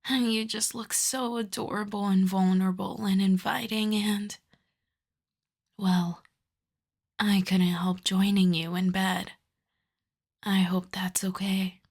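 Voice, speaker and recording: A woman voicing a seductive, lovestruck vampire in a soft, breathy, intimate ASMR whisper, coaxing and doting. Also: mid-range voice, heavily acted. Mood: tender, coaxing.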